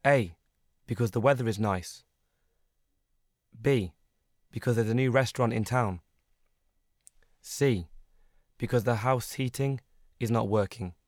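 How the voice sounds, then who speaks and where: Male narrator, scripted exam read, mid pitch, dry close recording. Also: unhurried, long pauses, no echo. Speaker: listening test narrator.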